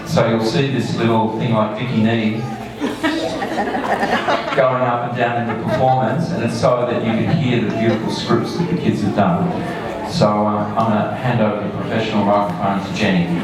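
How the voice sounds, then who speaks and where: A man speaks from some distance in a heavily reverberant hall, with an audience audible around him. His voice sits fairly low. He introduces a show informally and haltingly, often pausing partway through a phrase. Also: level tone, over a noisy crowd. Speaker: school staff member introducing a show. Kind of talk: informal live introduction speech. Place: echoey indoor venue before an audience. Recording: echoey hall, distant mic, audience sounds.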